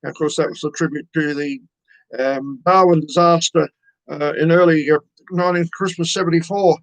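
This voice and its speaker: Gravelly older Australian radio presenter. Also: male voice, on the high side, fairly even intonation, no echo, low-quality recording. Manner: halting, many ums and uhs. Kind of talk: casual radio chat between songs.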